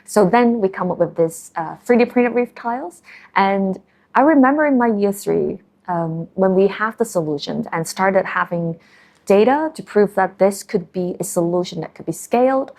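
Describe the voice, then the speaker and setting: A woman in her thirties with a Hong Kong English accent, speaking at a mid pitch. She answers in a measured, thoughtful interview style, pausing for ums and uhs as she explains her science work. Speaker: marine scientist and company founder. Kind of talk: documentary interview.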